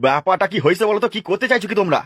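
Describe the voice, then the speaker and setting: A man's voice: sits high, expressive, racing, fairly bright tone, no echo. A man playing a brash, irritable small-time gangster in an amateur cartoon dub. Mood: puzzled, evasive. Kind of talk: amateur Bengali cartoon dubbing.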